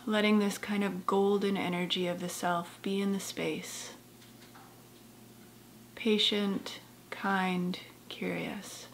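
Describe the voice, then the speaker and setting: A woman therapist leading a guided meditation in a gentle, soft-spoken, unhurried way with long pauses. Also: mid-range voice, level tone, slight background noise.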